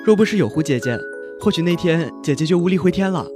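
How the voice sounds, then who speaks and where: A woman playing an eager, innocent and polite young boy in a fantasy audio drama, earnest and lively. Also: on the low side, quick pace, music in the background, lightly acted.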